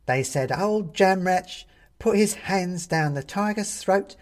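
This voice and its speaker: A man voicing an excitable female ghost of an old Londoner in an audiobook, crying out and scolding. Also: high-pitched, takes it slowly, voice pushed up. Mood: fierce scolding. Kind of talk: audiobook character voice.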